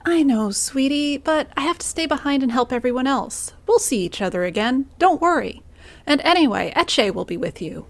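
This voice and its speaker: Woman as a high-voiced caring mother creature. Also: expressive, moderate pace, vocal fry, no echo. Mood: tender. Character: caring, resolute mother creature and village leader.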